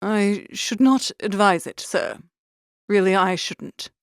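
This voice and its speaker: A woman playing a deferential but disapproving male valet in an audiobook, hushed, formal and restrained. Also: mid-range voice, slow, no room sound, studio quality. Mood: pained disapproval. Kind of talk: audiobook character acting.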